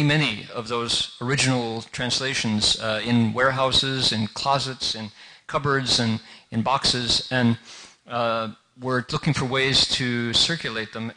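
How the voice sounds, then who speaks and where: A man moderating a public event, relaxed and lightly joking as he moves the program along. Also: medium pitch, low-quality recording.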